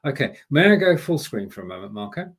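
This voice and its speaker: A man's voice: mid-range voice, sing-song, fast, a bit bright, slightly rough. A man speaking as a guest expert on a live show from his home broadcasting studio, giving direct, coaching advice to viewers. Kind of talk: instructive live-stream talk.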